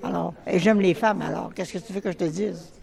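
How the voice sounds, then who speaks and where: A woman's voice: lowish voice, fairly even intonation, fairly quick, vocal fry, crowd noise. An older woman with a Québécois French accent speaking in a spirited, outspoken way.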